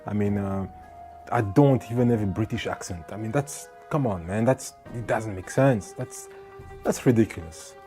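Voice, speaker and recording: A man born in France recounting a run-in with police in a conversational, animated way, full of asides to the listener. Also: fairly low pitch, normal speed, faint music behind. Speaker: vlogger. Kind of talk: storytelling to camera.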